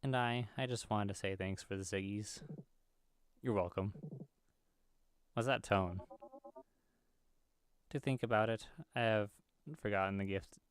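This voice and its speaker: A man's voice: lowish voice, steady tone, unhurried, long pauses, clear, resonant tone, no echo. A man hosting a gaming video, reading visual novel dialogue aloud and now and then stumbling over the words.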